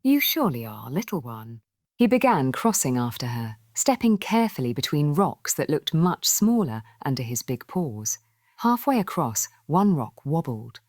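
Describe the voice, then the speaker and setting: A woman reading a scripted children's story in an expressive, gentle storybook manner. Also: sits low, normal speed, no room sound, studio quality.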